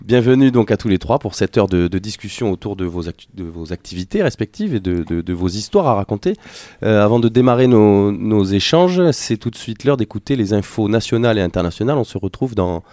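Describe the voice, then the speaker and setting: Male local radio host, low and mellow, halting, lots of fillers. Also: lively intonation, dry recording. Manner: hesitant, many fillers and repeated words. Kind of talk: local radio talk show hosting. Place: radio studio.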